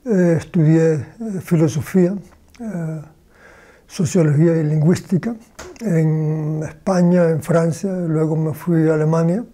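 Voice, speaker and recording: An older man from Cuba, a philosophy professor, answering interview questions slowly and deliberately in a small room with bookshelves, pausing often to search for words. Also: on the high side, mellow.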